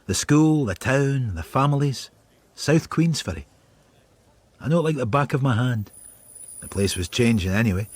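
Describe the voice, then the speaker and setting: Husky, brooding Scottish detective narrator, acted by a man. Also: medium pitch, expressive, normal speed, pauses often, a little mellow, no room sound, natural voice. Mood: weary, brooding. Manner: terse, observant, sombre. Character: brooding, world-weary male detective narrating. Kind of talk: first-person radio drama narration.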